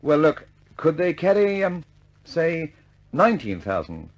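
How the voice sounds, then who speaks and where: An actor playing a stubborn, understated British engineer in a vintage radio drama, measured and politely insistent. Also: male voice, a little high, sing-song. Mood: coaxing.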